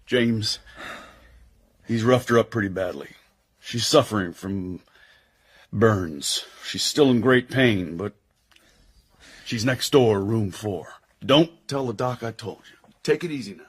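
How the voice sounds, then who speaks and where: An actor with an American accent playing a tough, loyal intelligence agent in a radio drama, husky, wry and slangy. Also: male voice, on the low side, slow pace, pauses often. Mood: concerned.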